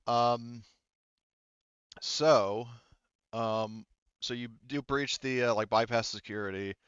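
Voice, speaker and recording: A man acting as game master, narrating a tabletop roleplaying game in a casual, halting way as he pieces descriptions together. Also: mid-range voice, steady tone, a bit bright, clear, resonant tone, no echo, dull audio.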